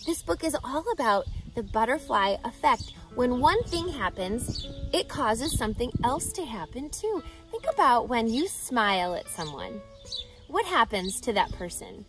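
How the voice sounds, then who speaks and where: A woman in her thirties reading a children's book aloud outdoors, with an expressive, teacherly delivery that emphasises key words. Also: on the high side, unhurried, over music. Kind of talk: animated children's picture-book read-aloud. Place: outdoors, grassy lakeside yard. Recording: outdoor ambient background noise.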